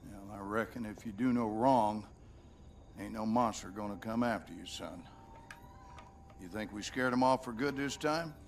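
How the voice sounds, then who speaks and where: A man's voice: fairly low pitch, normal speed, a little hiss or hum, close to an everyday voice. A man playing a harsh, bitter, domineering rural American father in a video game, with a folksy Southern drawl. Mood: coaxing, grim. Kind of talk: video game drama acting. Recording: game music behind the voice.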